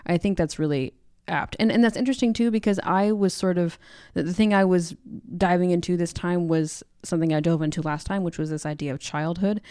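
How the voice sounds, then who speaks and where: A woman's voice: on the low side, fairly even intonation, a little fast, mellow, smooth, no echo, studio quality. A woman co-hosting a podcast, talking through a book in a casual, reflective and conversational way. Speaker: podcast co-host.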